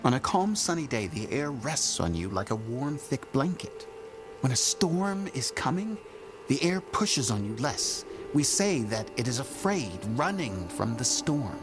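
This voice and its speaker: An actor playing a gentle Qunari man, speaking in a measured, formal way. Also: medium pitch, sing-song. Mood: calm. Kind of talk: video game cutscene dialogue. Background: music.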